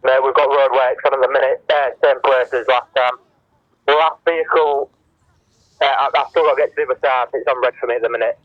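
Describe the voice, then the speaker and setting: A British man comes through a dull, band-limited two-way radio with no room sound. He talks quickly and casually, like a road works traffic controller relaying vehicles. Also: medium pitch, steady tone, no echo. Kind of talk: casual work radio chatter.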